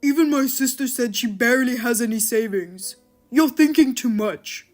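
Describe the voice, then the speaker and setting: An irritable husband out of work, played by a woman, snapping back and brushing off blame. The voice sits somewhat high and stays dismissive. Faint music plays under the story. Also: moderate pace, a little mellow, close to an everyday voice. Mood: defensive. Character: lazy, defensive unemployed husband.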